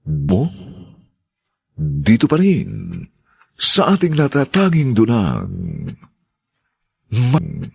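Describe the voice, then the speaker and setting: A man's voice: fairly low pitch, wildly swooping pitch, extremely slow, gravelly, pauses often, a little mellow, slightly rough. A man with a Filipino accent opening and announcing a radio drama in a formal, solemn way.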